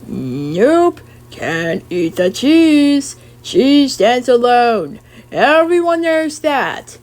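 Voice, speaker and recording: A woman voicing a plain-spoken male farmer in a storybook, low and drawling. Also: big pitch swings, drawn-out words, darkish tone, full character voice.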